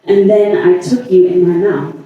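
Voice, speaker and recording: A man performing a staged monologue as a lovelorn woman haunted by obsessive passion, halting and deliberate with long pauses. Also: high-pitched, steady tone, narrow, tinny audio, lightly acted. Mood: haunted, confessional. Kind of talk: staged dramatic monologue reading. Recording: echoey, distant stage recording.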